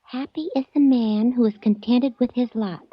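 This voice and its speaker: A woman's voice: fairly high pitch, normal speed, breathy edge, no room sound. An actress playing a naive, sweet, scatterbrained young secretary in a 1940s radio drama, with a girlish, wondering manner. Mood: wistful. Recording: muffled old radio recording.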